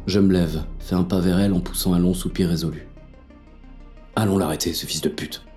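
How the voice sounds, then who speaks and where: An actor playing a paranoid, brooding police inspector on the run, narrating a noir audio drama in coarse first person. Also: male voice, sits low, steady tone, a little fast, a little mellow, faint music behind, lightly acted. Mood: troubled, resolute. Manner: gritty, coarse, brooding inner monologue.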